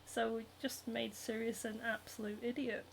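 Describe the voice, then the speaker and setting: A woman's voice: a little high, level tone, moderate pace, creaky, a little hiss or hum, rough recording. A young woman with a Northern English accent, hosting a casual livestream while she sews, reading out viewer comments and chatting with lots of giggles, ums and likes. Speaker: cosplayer and livestream host. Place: home, hand sewing while streaming.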